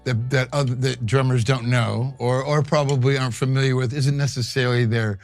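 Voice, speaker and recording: A man's voice: medium pitch, fairly even intonation, a little fast, faint music behind. A man, a guest drummer, bantering bluntly and swearing in a casual video.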